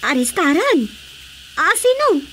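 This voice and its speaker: Very high, slow Filipino woman. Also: big pitch swings, a bit bright, slight background noise, exaggerated voice, rough recording. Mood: puzzled. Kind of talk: radio drama acting.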